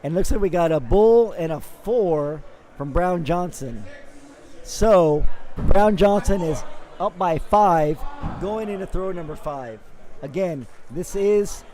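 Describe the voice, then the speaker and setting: Man, raspy hoarse voice, high pitch, dark mellow tone, live play-by-play commentary. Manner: upbeat, running play-by-play with frequent fillers. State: hoarse from talking for days.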